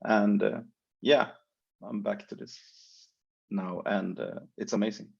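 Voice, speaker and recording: A man thanking the team on a call, unhurried and grateful, on dull lo-fi call audio. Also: fairly low pitch, steady tone, gravelly, a little mellow, slightly rough. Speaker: call participant. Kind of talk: casual thanks.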